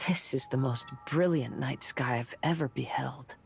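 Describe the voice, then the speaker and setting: A man voicing a serene, free-spirited young wanderer in a game, speaking in a soft, contemplative, poetic way. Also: medium pitch, moderate pace, gravelly, close to an everyday voice. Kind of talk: scripted game voice acting. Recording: loud music over the voice. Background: loud music.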